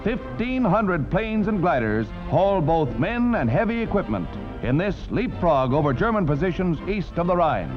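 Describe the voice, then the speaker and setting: 1940s American male newsreel narrator, declamatory, very animated pitch, dull vintage recording, scripted voice-over. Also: normal speed, hardly any pauses. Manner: dramatic, declamatory delivery. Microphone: studio voice-over. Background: loud orchestral music.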